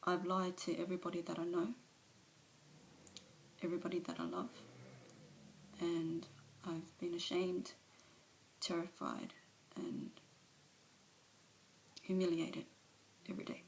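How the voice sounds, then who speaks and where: A woman's voice: lowish voice, lively intonation, unhurried, gravelly, long pauses, slight background noise, rough recording. A woman performing a monologue as a remorseful recovering alcoholic mother, speaking confessionally and sometimes dropping to a whisper, recorded on a phone camera. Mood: ashamed.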